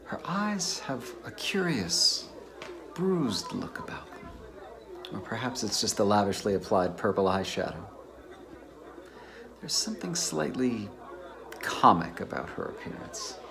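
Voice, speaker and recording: Man acting a shy donnish bachelor in a book drama, mild but very varied pitch. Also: sing-song, slow pace, vocal fry, pauses often, a crowd in the background, exaggerated voice. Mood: musing. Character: reserved, naive, bookish bachelor anthropologist. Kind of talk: dramatized reading of a novel.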